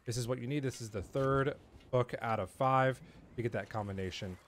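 A man's voice: medium pitch, brisk. Male game guide host, middle-aged, mid-range voice, easygoing walkthrough delivery, faint background noise. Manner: easygoing, informal, addresses viewers as guys. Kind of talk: casual walkthrough commentary. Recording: clear background noise under voice.

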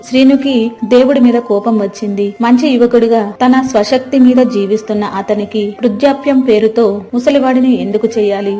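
A woman's voice: fairly high pitch, mellow. A woman reading scripted narration for a moral story.

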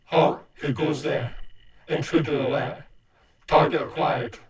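A man voicing a menacing sci-fi robot sentry in slow, commanding, clipped phrases, with heavy robotic vocoder processing. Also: on the high side, steady tone. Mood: stern alert. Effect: heavy robotic vocoder and ring modulator processing. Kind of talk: sci-fi game-style character lines.

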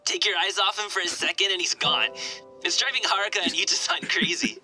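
A man's voice: high voice, animated, a little fast, bright, forceful tone, soft background music, full character voice, poor audio. An actor playing an earnest, eager young man in a video game drama, speaking sincerely and informally. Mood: earnest, fond. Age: young adult. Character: earnest, eager young male firefighter, raised as an orphan.

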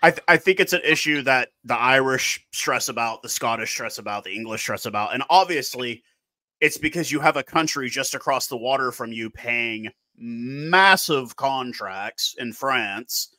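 A man in his thirties hosts a casual chat through a headset mic, his voice bright and sharp. The sound is close and dry. He talks in an animated way from a small room. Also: mid-range voice, normal speed, dry recording. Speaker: host. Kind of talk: casual video call chat.

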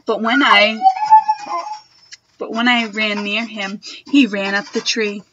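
A young woman reading a picture book aloud to children in a gentle, expressive manner. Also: mid-range voice, slow, dull audio, slight background noise. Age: young adult. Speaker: storytime reader. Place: wood-panelled room at home.

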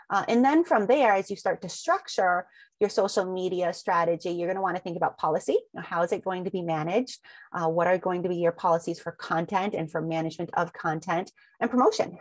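A woman's voice: medium pitch, no echo. A woman presenting a webinar over slides, talking through a long run of rhetorical questions with frequent ums, and sounding a little sniffly from allergies.

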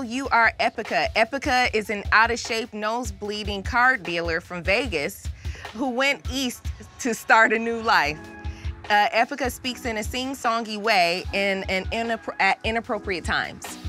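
Bright-voiced American woman hosting. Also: on the high side, a little slow, faint music behind. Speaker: host and voice acting coach running a challenge. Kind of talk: casual game show hosting. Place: podcast studio.

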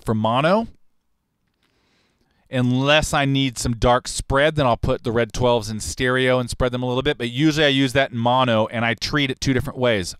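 A man in his forties, a recording engineer and host, talking in a relaxed, rambling, technical way in a studio control room on a broadcast mic. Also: mid-range voice, clear, resonant tone, dry recording. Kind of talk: casual livestream talk on recording. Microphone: large broadcast mic on arm, headphones on.

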